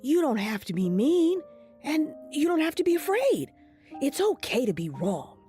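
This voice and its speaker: A woman voicing a gentle, brave old imaginary bear in an audio drama. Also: high-pitched, very animated, normal speed, faint music behind. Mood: gentle, pleading.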